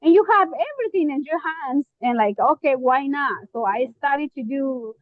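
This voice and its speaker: A woman from Mexico with a Mexican Spanish accent, a real estate investor talking casually on a video call, often pausing and searching for words. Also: high-pitched, animated, no echo, narrow, tinny audio. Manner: halting, searching for words, many fillers. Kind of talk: casual online meeting chat.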